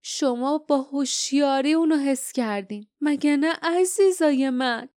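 A woman's voice: high-pitched, a little mellow, no room sound, studio quality, voice pushed up, natural voice. A woman voicing a sly, smug, contemptuous male guardian villain in an audiobook, with languid, mocking menace. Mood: fond murmuring. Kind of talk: dramatised audiobook storytelling.

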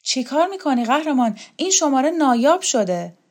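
A woman's voice: high voice, very animated, a little mellow, pitched above the performer's own voice. A woman voicing a brash, defiant woman revolutionary in an audiobook, taunting and insistent. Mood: taunting, excited. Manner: taunting, insistent, quick-tongued.

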